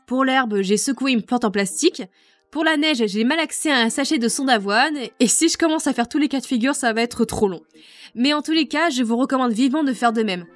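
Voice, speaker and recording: A woman's voice: high voice, a bit bright, no echo. A woman reading out prepared answers about making a fan dub, explaining in detail. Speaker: fandub project lead.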